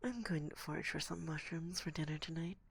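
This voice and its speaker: A woman voicing an assertive, teasing forest witch who is a stern but fond mentor, in soft, whispery binaural ASMR roleplay with a deliberate, condescending manner, close to the microphone. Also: sits low, dry recording, exaggerated voice. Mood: calm, instructing. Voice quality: soft, breathy, often slipping into whisper.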